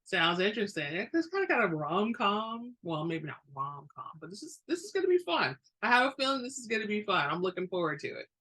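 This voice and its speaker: Bright, husky woman reading dramatically. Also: mid-range voice, brisk, few pauses. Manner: expressive, emphatic. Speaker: book reader. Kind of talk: dramatic book narration.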